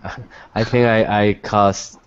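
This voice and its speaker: Student, man with a Mexican accent, very low voice, short questions, dry dull call sound. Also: flat delivery, a little slow, smooth, no room sound. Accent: Mexican Spanish. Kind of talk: asking questions in class.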